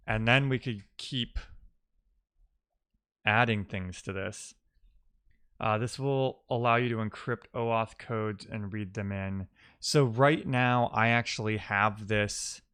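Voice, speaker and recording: A man who streams live coding, chatting casually and musing out loud in loose fragments as he works. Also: fairly low pitch, slow pace, clean tone. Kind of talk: casual thinking-aloud coding stream chat.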